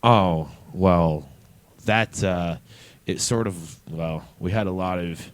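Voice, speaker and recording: Low-voiced man hosting radio, dry close sound. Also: takes it slowly, no echo. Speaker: radio show host. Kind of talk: casual unscripted radio talk.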